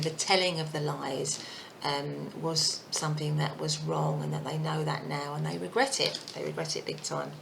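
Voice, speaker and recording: A woman giving a casual tarot reading, thinking aloud with many fillers, her voice croaky and husky. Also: deep voice, noisy background. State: croaky voice, clearing throat. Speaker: tarot reader.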